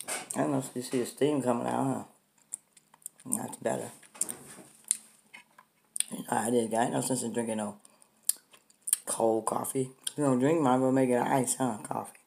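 Hoarse, very low-voiced woman vlogger. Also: steady tone, moderate pace, pauses often, mellow, slight background noise. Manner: chatty, affectionate toward viewers, talks while eating. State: hoarse from a cold. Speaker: food vlogger. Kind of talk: casual eating vlog chat. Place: home kitchen, indoors.

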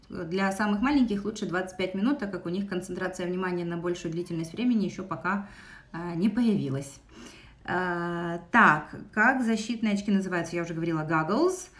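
A young woman with a Russian accent, teaching an English lesson on a live stream, speaking into a phone's front camera in a small room and switching between English and Russian with many fillers. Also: mid-range voice. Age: young adult. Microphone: phone held close, front camera.

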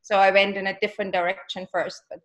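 A woman's voice: medium pitch, flat delivery, a bit bright. Chatty German woman on a video call. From Germany, near Salzburg. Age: 40s. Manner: chatty, laughs easily, quick asides. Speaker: guest, costume designer. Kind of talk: casual interview chat. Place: home room, video call. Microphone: webcam on video call.

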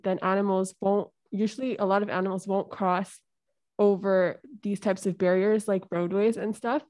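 A woman's voice: medium pitch, a little mellow, dry recording. A young woman speaking in an online class discussion, explaining steadily with some fillers. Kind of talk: casual discussion. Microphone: video call.

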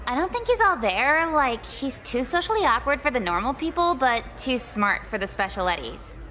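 Bright, chatty teenage girl. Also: high voice, sing-song, moderate pace, heavily acted. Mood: friendly. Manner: slangy, casual. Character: friendly, chatty, irreverent preppy teenage girl.

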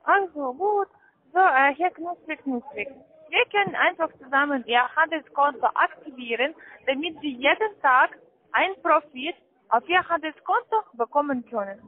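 A woman with a foreign accent giving a sales pitch over the phone, in halting, careful non-native phrasing. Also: expressive. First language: not German. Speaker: call centre account manager. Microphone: telephone. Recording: muffled narrow-band phone line.

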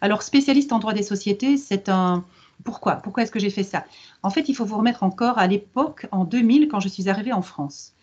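A middle-aged woman, a lawyer, giving measured, didactic explanations as a guest on a video conference. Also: mid-range voice, a little mellow, husky. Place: book-lined office, video call. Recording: dull video-call audio.

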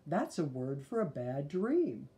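Woman as a calm, mellow-toned father bear. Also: low voice, exaggerated voice. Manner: measured, explaining.

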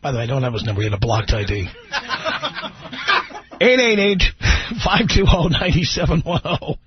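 A man's voice: fairly high pitch, big pitch swings, normal speed, a little hiss or hum. A man hosting a call-in radio talk show, joking brashly with callers and reading out the phone number. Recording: muffled broadcast radio audio.

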